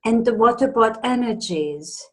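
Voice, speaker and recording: A woman's voice: on the high side, animated, unhurried, rough recording. A woman participant in a conversation, asking follow-up questions in an informal way.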